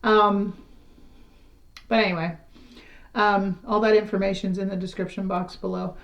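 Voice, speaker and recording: A middle-aged woman with a raspy, slightly hoarse voice gives a casual tarot reading to the camera. She talks in a frank, rambling, conversational way. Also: mid-range voice, level tone, moderate pace, dull audio. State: slightly hoarse after illness. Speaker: tarot reader.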